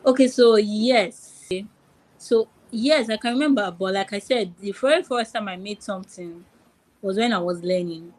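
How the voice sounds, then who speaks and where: A woman's voice: mid-range voice, moderate pace, darkish tone, low-quality recording. A young woman from Nigeria with a Nigerian accent, a podcast guest talking casually, who repeats her phrases and restarts often. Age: young adult. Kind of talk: podcast interview.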